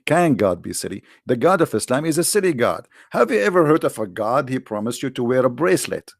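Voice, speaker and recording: Gravelly, mocking male debater. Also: medium pitch, very animated, dry recording, studio quality. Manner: mocking, sarcastic, rapid-fire questions. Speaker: debate host. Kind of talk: live online debate.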